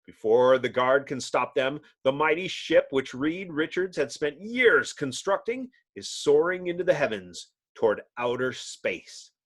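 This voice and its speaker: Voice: mid-range voice, lively intonation, unhurried, hardly any pauses. A narrator giving a dramatic, theatrical scripted reading of a comic-book adventure. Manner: theatrical, storybook-like.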